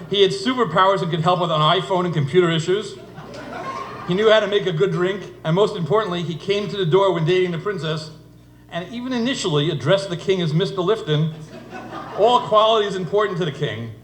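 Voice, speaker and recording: Echoey, high-pitched middle-aged man giving a speech. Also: a little fast, fairly bright tone. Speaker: father of the bride giving a speech. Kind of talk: humorous wedding speech told as a fairytale. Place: indoor wedding reception venue. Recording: echoey room, noisy event ambience.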